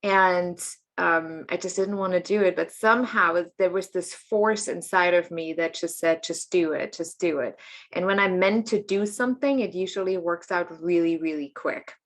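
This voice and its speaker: A woman's voice: lowish voice, moderate pace. A woman in her late thirties from Germany, telling her life story openly and chattily in an interview. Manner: open, chatty, laughs often while telling her story. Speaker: guest, coach and healer.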